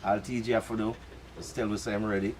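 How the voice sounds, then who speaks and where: A man's voice: lowish voice, little pitch movement, fairly quick, background noise. An older man with a Jamaican accent, telling rambling stories in patois at a press conference. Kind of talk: relaxed storytelling.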